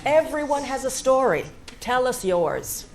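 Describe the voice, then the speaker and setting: A woman's voice: sits high, big pitch swings, measured pace, full character voice. An actress playing a woman in a film who coaxes someone to open up. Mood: coaxing. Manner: coaxing. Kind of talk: film drama acting.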